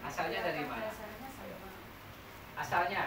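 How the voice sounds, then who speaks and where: A man's voice: high-pitched, unhurried, crowd noise. A man, teaching a massage therapy training class and quizzing the students as he explains step by step. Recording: echoey room, noisy, mic far off.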